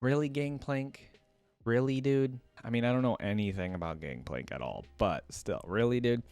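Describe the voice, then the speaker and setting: A man's voice: mid-range voice, normal speed, a little mellow, smooth, dry recording, faint music behind. Casual man with a dry, close sound. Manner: informal, conversational asides. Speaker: reaction video creator. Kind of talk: casual reaction commentary, reading lore aloud.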